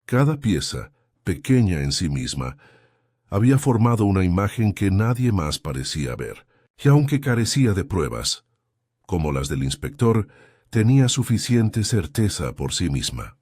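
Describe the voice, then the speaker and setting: A man narrating a mystery story in a measured way on a close studio mic. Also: deep voice, big pitch swings, a little mellow, no room sound. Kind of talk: scripted audiobook narration.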